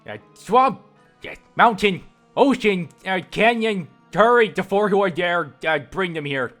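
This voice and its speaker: A frantic little fairy from a video game played by a man calls out in a raised, loud voice. The delivery is urgent and halting, over soft game music. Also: sits high, expressive, pitched above the performer's own voice.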